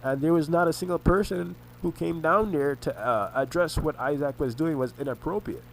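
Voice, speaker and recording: A man recounting family arguments in a rambling monologue, halting and often restarting his words, his voice congested and his throat sore. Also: fairly high pitch, gravelly, slight background noise, low-quality recording. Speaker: vlogger recounting family conflicts. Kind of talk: rambling personal monologue over a screen recording.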